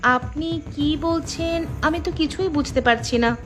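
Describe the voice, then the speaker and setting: An actress playing an earnest, strong-willed young daughter-in-law in a cartoon drama. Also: female voice, high voice, unhurried, fairly bright tone, soft background music. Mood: meek, pleading. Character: educated young daughter-in-law, defiant amateur sleuth.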